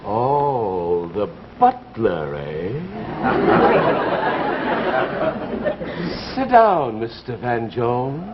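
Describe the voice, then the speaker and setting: An actor playing a suspicious, scatterbrained police sergeant in a 1950s radio comedy, halting and interrogating. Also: male voice, on the high side, full character voice. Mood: probing. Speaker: comic actor in a radio show. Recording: muffled old radio recording, noisy studio audience. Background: audience and clear noise.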